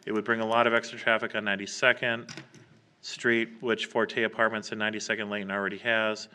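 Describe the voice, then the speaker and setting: A man chairing a public meeting in an informal, halting way, reading out names and written comments. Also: lowish voice, little pitch movement, bright, forceful tone. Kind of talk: running a public meeting, reading emails aloud. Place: council chamber, public meeting.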